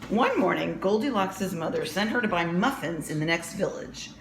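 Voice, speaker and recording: A woman's voice: fairly low pitch, expressive, normal speed. A woman reading a picture book aloud to young children as a storyteller, with dramatic, emphatic phrasing. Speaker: children's librarian reading stories. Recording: roomy echo with faint background noise.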